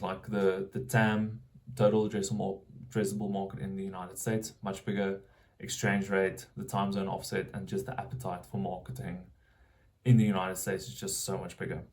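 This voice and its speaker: A man's voice: low-pitched, darkish tone. A young South African man vlogging casually, thinking aloud and often restarting his sentences as he searches for words.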